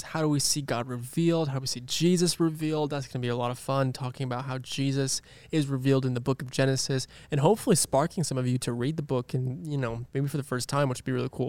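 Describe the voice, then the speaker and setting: A young man in his early twenties with a smooth, clear, resonant voice of middle pitch. He co-hosts a church podcast with an upbeat, playful manner, and the recording is close and dry. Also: darkish tone, no room sound. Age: 20s. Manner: upbeat, reading notes aloud, playful teasing. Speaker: podcast co-host. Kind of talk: casual chat.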